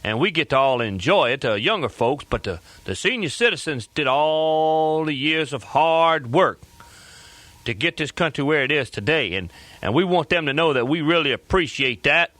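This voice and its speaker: A man's voice: a little high, lively intonation, normal speed, a bit bright, dry recording. A man voicing a jovial male animatronic bear who hosts a stage show, with a folksy, stammering way of talking. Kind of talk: scripted stage banter.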